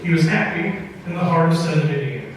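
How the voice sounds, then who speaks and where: A man with a high-pitched voice tells a story on stage in a folksy, measured manner, pausing deliberately. He is heard at some distance from the mic in a big reverberant hall, as in a live old-time radio variety show. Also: lively intonation, slight background noise. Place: opera house stage with live audience.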